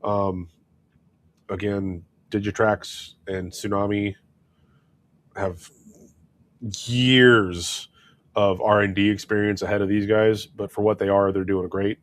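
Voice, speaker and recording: Stuffy-nosed man, low voice. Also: fairly even intonation, slow, pauses often. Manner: rambling, many fillers and restarts. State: stuffy from a head cold. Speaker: co-host. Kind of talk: casual hobby chat livestream. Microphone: wireless clip-on mic.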